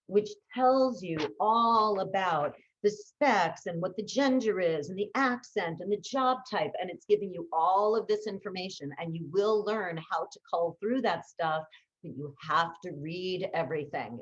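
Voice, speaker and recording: A woman's voice: fairly low pitch, moderate pace, dull audio. A woman coaching in a conversational, instructional way, stressing key words by repeating them and asking rhetorical questions. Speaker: voice-over coach. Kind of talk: instructional talk with tips.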